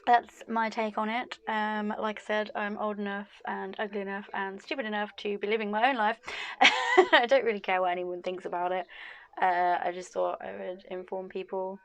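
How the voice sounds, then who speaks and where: A woman's voice: medium pitch, normal speed, fairly bright tone. A woman vlogger chatting frankly to camera, laughing often and losing her voice a bit. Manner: frank and chatty, with frequent laughs and "like, you know" fillers. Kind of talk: casual talking-to-camera vlog.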